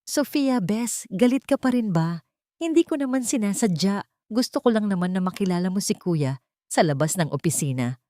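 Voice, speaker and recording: A woman's voice: mid-range voice, expressive, moderate pace, dark tone, no room sound, lightly acted. A woman acts out a young female best friend in a romantic audio drama, sweet and coaxing. Her voice is lifted a little, and the sound is dry. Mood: pleading, coaxing.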